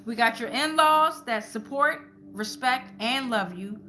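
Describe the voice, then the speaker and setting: A woman's voice: on the high side, lively intonation, unhurried, pushed and bright, a little hiss or hum. A woman host giving an informal spiritual tarot reading to viewers, speaking colloquially and repeating phrases like incantations. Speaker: tarot reader and channel host.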